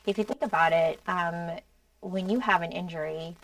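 Earnest lower-voiced woman, slightly off mic. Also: moderate pace, no echo, recorded from a distance, rough recording. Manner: earnest, many "um"s, soft trailing phrases. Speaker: podcast co-host. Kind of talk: casual explanatory talk.

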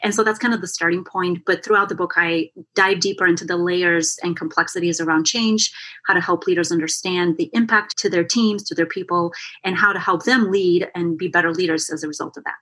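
Earnest woman with a lowish voice. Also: fairly even intonation, a little fast, fairly bright tone. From born in Bulgaria, in America from age twelve. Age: late 30s to early 40s. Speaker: interview guest, consultant and author. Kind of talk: explanatory interview answers. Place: remote call over a screen.

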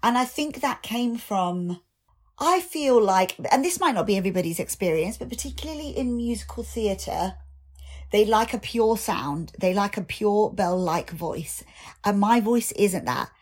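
A woman in her thirties, a singer and actor, speaking candidly and reflectively in a podcast interview, her voice slightly raspy, with ums and restarts. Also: medium pitch, animated, measured pace. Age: 30s.

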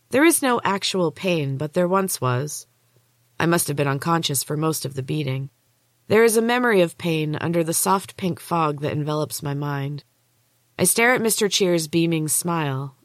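A woman with a low-pitched voice reads first-person fiction as an author and podcast host. The sound is close and dry, with hardly any room to it. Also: dry recording. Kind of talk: first-person fiction storytelling, read aloud.

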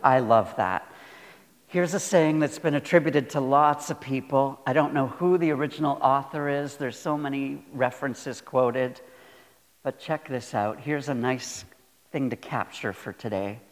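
A man preaching a sermon as a pastor, earnest and deliberate, repeating phrases for emphasis. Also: medium pitch. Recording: long hall reverb.

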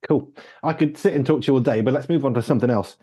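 Mellow, husky male interviewer. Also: medium pitch, rapid-fire. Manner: affirming, with short agreements. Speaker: podcast and radio host.

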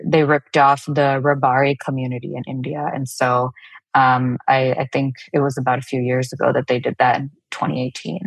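A woman's voice: extremely low pitch, level tone, normal speed, vocal fry, dry recording, rough recording. A woman who is a native Telugu speaker, talking casually as an interview guest about her own work. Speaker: guest, fashion brand founder. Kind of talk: interview answer, casual conversation.

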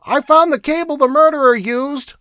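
Guy doing an excitable guard in a fantasy tale, pitched way up, insistent, dry close sound. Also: normal speed, no room sound. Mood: excited. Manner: agitated, insistent. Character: excitable, insistent male temple guardsman reporting to superiors. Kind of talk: dramatized fantasy audiobook.

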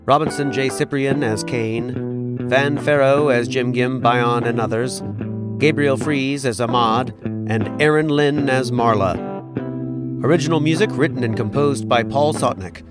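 Male announcer, mid-pitched, scripted end-credits read, loud plucked-string music bed. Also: a little slow.

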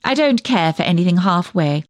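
A woman's voice: fairly low pitch, expressive, normal speed, dry recording, studio quality, natural voice. A woman performing a comic monologue as a nosy, tactless village dressmaker who chats on with prying, backhanded remarks. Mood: vain, self-satisfied. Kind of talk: dramatic monologue reading, comic character storytelling.

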